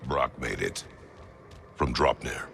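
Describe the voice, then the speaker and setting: A middle-aged man playing a stern, stoic, protective warrior father in a video game, gravelly and terse, with formal, grave phrasing. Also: slow, a bit bright, big-room sound, slight background noise, heavily acted. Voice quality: gravelly, deep. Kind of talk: dramatic video game cutscene acting.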